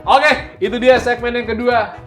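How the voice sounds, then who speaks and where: An Indonesian man hosting a quiz game show in a lively presenter's style, over background music. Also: extremely high pitch, moderate pace.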